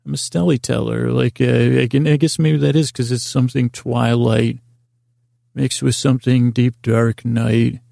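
A man's voice: medium pitch, fairly even intonation, a little slow, gravelly, warm tone, no room sound, clean studio sound. A man hosting a podcast in a meandering, goofy bedtime monologue, with tangled sentences that double back on themselves. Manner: silly, goofy wordplay. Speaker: podcast host. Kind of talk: rambling bedtime monologue to help listeners fall asleep.

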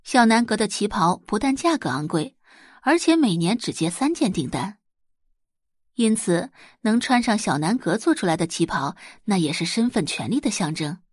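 A woman's voice: mid-range voice, very animated, moderate pace, slightly rough, no echo, studio quality. A woman narrating a scripted audio drama as a storyteller, in a dramatic reading style.

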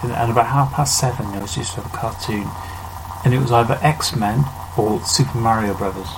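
A man's voice: mid-range voice, brisk, noisy background. A man in his late thirties co-hosting a casual podcast, joking, laughing often and trailing off mid-thought. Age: 30s. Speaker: podcast co-host. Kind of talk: casual chat.

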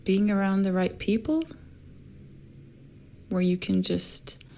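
A woman from Sweden, a workshop teacher talking in a soft, thoughtful way with many pauses. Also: medium pitch, fairly even intonation, gravelly. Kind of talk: casual conversation.

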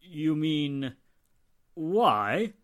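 Flustered, high-pitched male suspect, played by an actor. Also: sing-song, drawn-out words, a bit bright, exaggerated voice. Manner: flustered, theatrical, over-precise. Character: nervous, prissy, evasive male murder suspect. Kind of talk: 1940s radio drama acting.

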